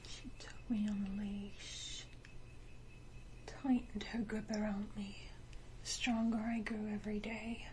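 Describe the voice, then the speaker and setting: A woman playing a sinister, wounded yet condescending female vampire in a whispered ASMR roleplay, speaking deliberately with theatrical pauses. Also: mid-range voice, level tone, a little mellow, slight background noise, lightly acted. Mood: haunted, bitter. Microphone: close ASMR microphone.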